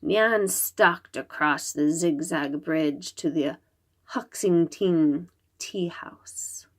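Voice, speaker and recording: A woman reading a children's story aloud, expressive and dramatic, drawing out her words. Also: sits low, extremely slow. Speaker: storybook reader.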